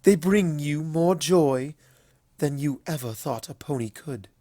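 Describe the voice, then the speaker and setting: A regal, teasing elder mare princess from a storybook , acted by a man. The voice is lifted high and takes its time, savoring words with a knowing, playful affection. The sound is close and dry. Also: mellow, no room sound. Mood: tender, knowing. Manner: drawn-out, playful, knowing delivery. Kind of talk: fan fiction story reading.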